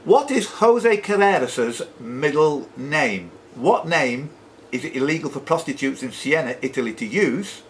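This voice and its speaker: Animated higher-voiced man hosting a live quiz. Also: a little slow. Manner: jokey, chatty asides between questions. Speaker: quiz host and question reader. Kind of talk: live pub-style quiz reading with off-the-cuff banter. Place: sports and social club room. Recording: noisy live room recording.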